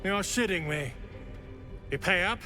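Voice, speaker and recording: A man playing a rough, crude dwarf patrolman in a video game, brusque and coarse. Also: high voice, lively intonation, a little slow, pushed and bright, faint music behind, heavily acted. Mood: surprised, crude.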